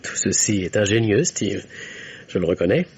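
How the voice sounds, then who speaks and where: A man's voice: on the low side, animated, moderate pace, a little mellow, breathy edge. An actor playing a suave young male suspect in an old French radio mystery, dryly polite, evasive and coolly defensive. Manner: dry, guarded politeness. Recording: faintly noisy vintage radio recording.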